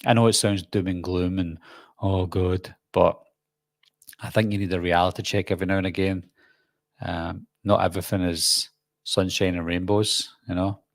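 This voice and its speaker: A man's voice: deep voice, flat delivery, normal speed, vocal fry, no echo, clean studio sound. A man with a Glasgow accent, a YouTube host rambling casually through viewer comments on a live stream, full of ums and restarts. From Scotland.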